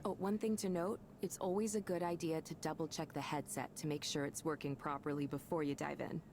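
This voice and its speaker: An actress playing a chatty, upbeat supervisor in a visual novel game, talking in brisk, reassuring corporate orientation patter. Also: female voice, sits low, steady tone, background noise. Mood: upbeat, instructive.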